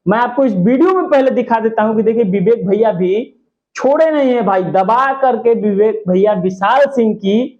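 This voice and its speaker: A man's voice: high-pitched, normal speed, darkish tone. A man giving opinionated, emphatic gossip commentary to his viewers, often repeating his points for stress. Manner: emphatic, repetitive, partisan. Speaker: YouTube commentator. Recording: dull lo-fi audio.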